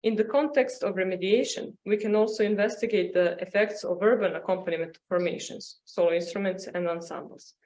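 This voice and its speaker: A woman reads a scholarly paper to a conference. Her delivery is formal and measured, at a middling pitch, and she occasionally trips on a word and picks it up again. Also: darkish tone. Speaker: academic presenter. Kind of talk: formal conference paper read aloud in English.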